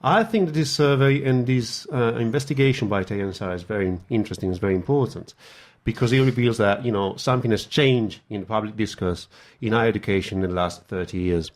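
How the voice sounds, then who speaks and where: Italian-accented male lecturer, friendly radio guest, stop-start delivery. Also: on the low side. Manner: friendly, laughing, halting restarts. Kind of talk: live radio guest chat.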